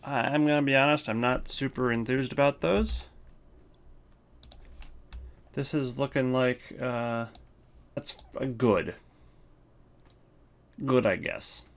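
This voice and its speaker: A man's voice: mid-range voice, slow, long pauses. A man giving casual, rambling commentary, thinking aloud as he goes. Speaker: video creator ranking game voice lines.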